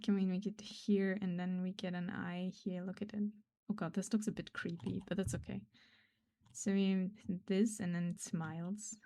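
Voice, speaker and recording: A woman streamer chatting casually while drawing, rambling and self-deprecating, often trailing off into quiet mumbles; German is her first language. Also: mid-range voice.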